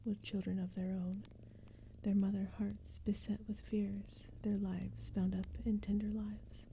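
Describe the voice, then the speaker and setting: Female ASMR poetry reader: breathy whisper, slow, gentle and even delivery, faint fire and forest ambience. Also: medium pitch. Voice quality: breathy whisper, mostly unvoiced. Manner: gentle, unhurried, even storytelling. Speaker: ASMR narrator reading poetry. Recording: steady background ambience noise. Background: crackling fire and forest sounds.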